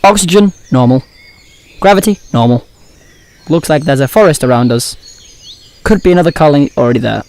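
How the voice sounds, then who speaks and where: A man's voice: on the high side, lively intonation, fairly quick, long pauses, a little mellow. A man playing a regretful, dutiful part-android astronaut in a fan audio drama, speaking in a clipped, earnest way.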